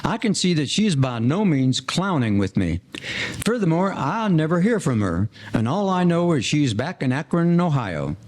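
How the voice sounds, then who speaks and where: Man playing a highish-voiced, wordy Prohibition gangster. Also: big pitch swings, normal speed, darkish tone. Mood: rueful. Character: lovelorn male Prohibition rum-runner, wordy street-wise gangster. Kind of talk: 1940s period audio play acting.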